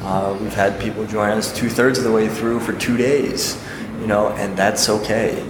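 A somewhat low-voiced man talks casually in a group discussion. He rambles and restarts often. The recording sounds like a big echoey hall, with clear room noise behind him. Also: fairly even intonation, normal speed. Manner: rambling, many fillers and restarts. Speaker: architect, program organizer.

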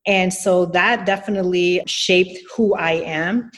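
A woman's voice: on the low side, steady tone, unhurried, a bit bright. A woman from New York City speaks as an interview guest. She explains her points carefully and at length. Her phrasing is full of hedges and restarts. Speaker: nonprofit executive guest. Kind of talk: interview answers.

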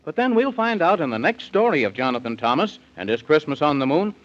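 A man narrating a 1930s children's radio serial, husky and confiding, in a singsong manner aimed at young listeners. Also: a little high, very animated, a little fast. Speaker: storyteller, announcer. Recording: muffled 1930s radio recording.

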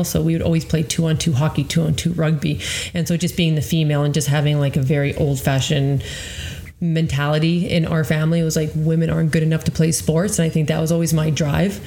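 Woman, from Canada, 30s, gravelly voice, candid, conversational, interview guest. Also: low voice, mellow. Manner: candid, conversational, many fillers like "like" and "right". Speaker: former professional basketball player, guest. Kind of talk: casual interview.

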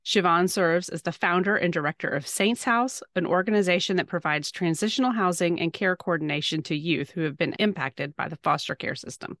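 A woman's voice: lowish voice, fairly quick, hardly any pauses, fairly bright tone, no room sound, studio quality. A woman co-hosting a podcast in a conversational style. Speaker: podcast co-host.

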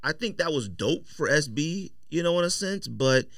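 American guy on a podcast, opinionated, casual slangy talk, pitch all over the place, really dry room. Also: animated, moderate pace, fairly bright tone, no room sound. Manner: opinionated, emphatic. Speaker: podcast host. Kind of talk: casual chat about sneakers, slangy. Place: indoor podcast studio.

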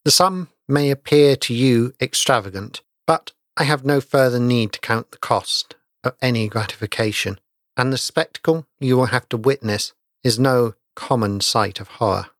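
A man voicing a doomed, pale stranger in a ghost story, speaking low, hushed and hollow. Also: moderate pace, dry recording, clean studio sound, natural voice. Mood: grave, hushed.

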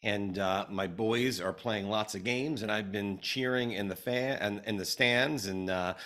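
A man's voice: fairly low pitch, unhurried, fairly bright tone. A man hosting a podcast who is losing his voice a little. He opens the show in an eager, friendly, loose way. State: losing his voice, slightly hoarse. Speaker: podcast host. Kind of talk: casual podcast opening.

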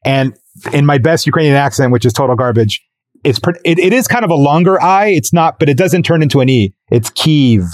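A man's voice: on the high side, animated, a little fast, no room sound, clean studio sound. A man guesting on a casual panel podcast, careful and explanatory, a little self-deprecating.

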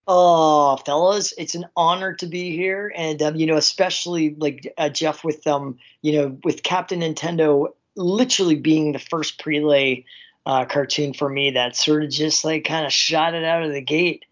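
A man's voice: a little high, fairly even intonation, normal speed, a bit bright. Effusive Canadian man, muffled audio. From small town near Vancouver, Canada. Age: middle-aged. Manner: effusive, rambling, frequent "you know". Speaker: voice actor, interview guest. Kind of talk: casual interview chat.

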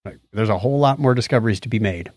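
A man's voice: lowish voice, very fast, darkish tone, no room sound, clean studio sound. A man chatting casually and enthusiastically as a podcast co-host. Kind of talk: casual chat.

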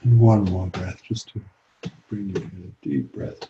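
Low, mellow man guiding meditation. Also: lo-fi sound, slight background noise. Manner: calm, gentle, with long pauses. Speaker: meditation teacher. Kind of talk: guided meditation.

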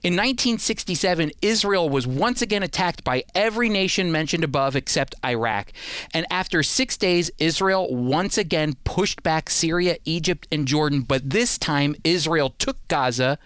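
A man's voice: on the high side, normal speed, a bit bright, clean tone, no echo, clean studio sound. An American man, middle-aged, hosting an explanatory radio talk in an emphatic manner, addressing listeners directly as friends. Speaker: radio show host.

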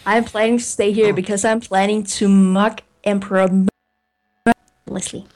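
A woman's voice: medium pitch, level tone, unhurried, darkish tone. Female co-host, mid-range voice, playful and teasing. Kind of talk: casual group chat on a web show.

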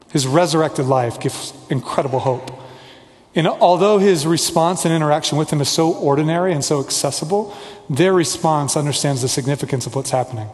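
A man's voice: fairly high pitch, long reverb tail. A man preaching a sermon as pastor, earnest and conversational, with playful asides.

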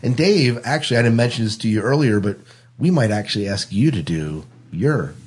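A man's voice: mid-range voice, lively intonation, fairly quick, darkish tone, slightly rough. A man hosting a podcast in a casual, informal, chatty way. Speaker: podcast host.

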